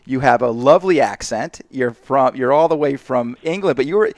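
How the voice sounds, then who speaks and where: A man's voice: mid-range voice, animated, moderate pace, no echo. Chatty male radio host, dry studio. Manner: chatty, rambling, restarts often. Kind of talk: radio interview.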